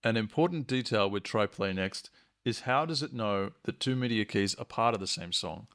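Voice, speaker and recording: Low-voiced man with a gravelly edge. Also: normal speed, a bit bright. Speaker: software tutorial presenter. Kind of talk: explanatory screencast tutorial narration.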